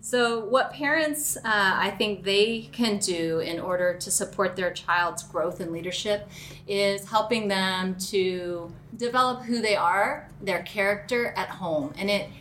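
A woman's voice: medium pitch, a bit bright. A woman speaking as an educator, giving a spontaneous, explanatory interview answer as she thinks aloud. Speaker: educator giving advice to parents. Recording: clear room noise and ambience.

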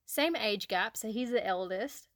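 An outgoing, loud woman whose was Hungarian, co-hosting a casual podcast chat and laughing often. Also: a little high, animated, unhurried, no room sound, clean studio sound. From Australia. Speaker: podcast co-host.

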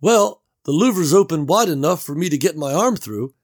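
A jittery young guy, as a male narrator puts him on, pitched well above the narrator's natural voice and pushed loud. He sounds earnest and anxious, quick to run himself down. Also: animated. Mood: eager. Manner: earnest, anxious, self-deprecating. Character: nervous eager young man stuck in vent.

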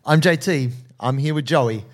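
A man hosting a podcast, warmly effusive in introducing and reacting to his guest. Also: on the high side, moderate pace. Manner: effusive and enthusiastic. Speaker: podcast host. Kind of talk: casual podcast interview.

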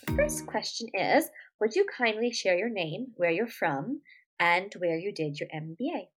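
Woman host asking measured questions. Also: medium pitch, sing-song, husky, faint music behind. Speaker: podcast host. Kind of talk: interview questions.

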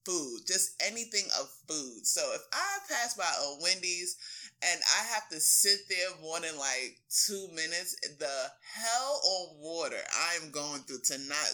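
A woman's voice: fairly low pitch, a little slow, poor audio. A woman talking casually as a fitness coach being interviewed, slangy and emphatic, telling stories and acting out quoted remarks. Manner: expressive storytelling, acts out quoted remarks. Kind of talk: animated casual interview talk.

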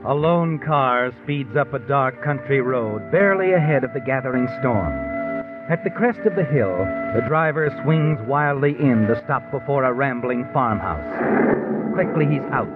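An actor playing an ominous all-knowing mystery narrator who taunts in a menacing way, in 1950s radio style. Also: male voice, mid-range voice, moderate pace, natural voice. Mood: ominous, taunting. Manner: menacing, taunting, addresses the character directly. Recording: lo-fi old radio broadcast. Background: dramatic music.

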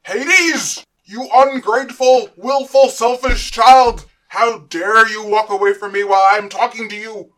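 Someone acting a raging tyrant god dad, howling and bossy, super loud audio drama villain. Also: medium pitch, fairly even intonation, measured pace, few pauses, close to an everyday voice. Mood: furious. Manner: howling, commanding, melodramatic. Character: enraged tyrannical imprisoned father god, screaming threats.

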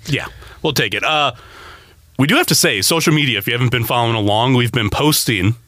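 A young man co-hosting a casual sports podcast, teasing his friend and getting animated about results. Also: brisk, dry recording. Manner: teasing, animated, jumps up in pitch when excited. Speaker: podcast co-host.

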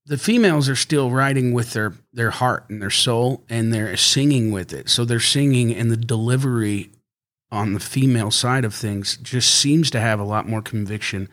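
A man's voice: moderate pace, a bit bright. A man who is a country singer, chatting casually as a podcast guest and rambling easily with frequent laughs. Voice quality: high singing voice. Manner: easygoing, rambling, laughs often.

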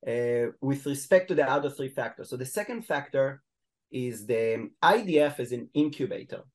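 A man with an Israeli accent talks as a guest in a podcast interview. He explains at length, pausing on ums and uhs and restarting his sentences. His pitch sits in the middle range for a man. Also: expressive, a little mellow. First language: Hebrew. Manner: explanatory, many fillers and restarts. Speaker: guest expert.

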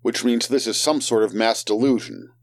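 Brainy hero, male actor: composed, precise, dry, middle-pitched voice for audio drama. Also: normal speed, no echo, lightly acted. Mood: analytical, calm. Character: pedantic, composed male scientist-type hero.

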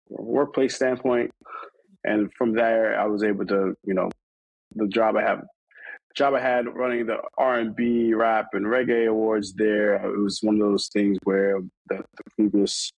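Man, from New York, interview guest, husky, dry close recording, hesitant rambling delivery. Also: fairly low pitch, no echo, low-quality recording. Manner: rambling, many fillers and restarts. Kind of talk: casual conversational interview.

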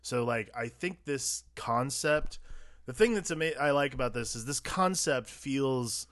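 Laid-back podcast co-host, dry close sound. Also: male voice, mid-range voice, lively intonation, a bit bright, no echo. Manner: laid-back, rambling, quick to laugh. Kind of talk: casual podcast chat.

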